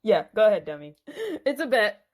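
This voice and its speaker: A woman's voice: mid-range voice, expressive, fairly quick, lightly acted. A woman voicing scheming Philistine men in a Bible story dramatization, scornful and threatening. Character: scheming, sneering Philistine men, menacing wedding guests.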